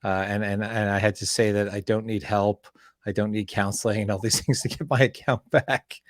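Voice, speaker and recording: Guy from canada hosting a chat show, lowish voice, stumbles into sentences, super dry room. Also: fairly even intonation, brisk, dry recording. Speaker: show host and interviewer. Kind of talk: casual interview chat.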